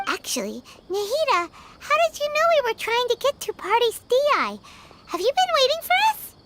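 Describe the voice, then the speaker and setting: A woman voicing a small, excitable childlike fairy companion in a video game, expressive and exclamatory. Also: extremely high pitch, moderate pace, heavy background noise, exaggerated voice. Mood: inquisitive. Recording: loud music over game dialogue.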